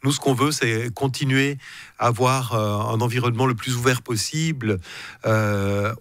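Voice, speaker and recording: Middle-aged man, mid-range pitch, halting, careful radio guest. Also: fairly even intonation, a bit bright, no echo. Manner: measured, hesitant, many fillers and restarts. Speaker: council president interviewed as guest. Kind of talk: radio interview.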